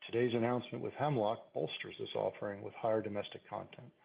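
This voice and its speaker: A man reading a scripted earnings call presentation as a finance executive, in a formal, measured way. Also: fairly low pitch, steady tone, gravelly, breathy edge. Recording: slightly dull conference call audio.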